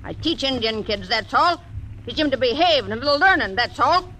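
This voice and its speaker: Hard-nosed frontier woman of fifty; sharp, high, plain-spoken, vintage radio hiss. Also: expressive, brisk, rough recording. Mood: stern. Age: 50s. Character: tough frontier woman around fifty, blunt. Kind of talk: 1940s radio acting. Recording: old radio broadcast with faint hiss.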